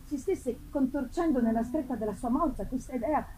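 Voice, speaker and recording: High-voiced woman, intense theatrical reading. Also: rough recording. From Italy. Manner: intense, halting, repeats words for effect, swinging from hushed to loud. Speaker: actress giving a dramatic reading. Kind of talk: theatrical live reading of a gothic novel. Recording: live festival recording with faint noise. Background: live musical accompaniment.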